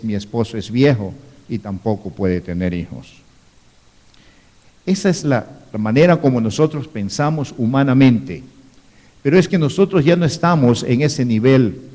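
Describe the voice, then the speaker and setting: A man's voice: medium pitch, dark tone. A man preaching as a pastor, teaching in a sermon, reading scripture aloud and adding rhetorical asides. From Ecuador. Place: church hall, live stream. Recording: reverberant church hall.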